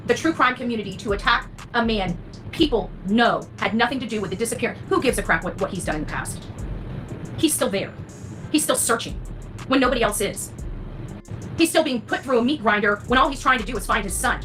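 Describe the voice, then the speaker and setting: A woman's voice: fairly high pitch, low-quality recording. A woman delivering an impassioned, blunt rant to an online audience, indignant and emphatic, often swearing. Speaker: online true crime content creator. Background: background music.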